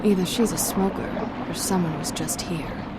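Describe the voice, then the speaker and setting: Tough game heroine female actor: slightly low voice, curt, sardonic, commanding, heavy background noise. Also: moderate pace, lightly acted. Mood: wary, dry. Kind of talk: video game cutscene acting. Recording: very noisy game ambience behind.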